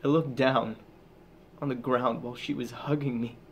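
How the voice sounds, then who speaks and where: A man performing a dramatic monologue as a haunted, guilt-ridden soldier back from war, halting and intense, with heavy pauses. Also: mid-range voice, steady tone, highs cut off, slight background noise, natural voice. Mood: grieving, guilty.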